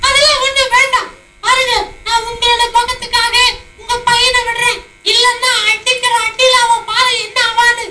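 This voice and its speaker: A woman voicing a little girl puppet who has lost her hen and scolds the boy in a squeaky voice, in an echoey hall. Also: little pitch movement, moderate pace. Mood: scolding. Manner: scolding, squeaky, sing-song. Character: girl Rosie, upset chicken owner. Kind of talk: children's puppet show. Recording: echoey hall, far mic, loud noise.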